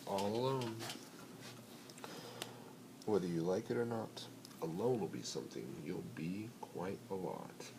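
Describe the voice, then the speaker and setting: A man reading a children's picture book aloud in an expressive, playful, rhythmic way with dramatic pauses. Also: sits low. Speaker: bedtime story reader. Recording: noisy amateur home recording.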